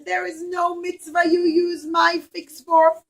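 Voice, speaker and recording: A woman as a high, plaintive little fig tree. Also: completely flat delivery, slow. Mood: sad complaining. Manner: plaintive, insistent protesting. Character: sad, complaining fig tree longing to feel special. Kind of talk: children's puppet show storytelling.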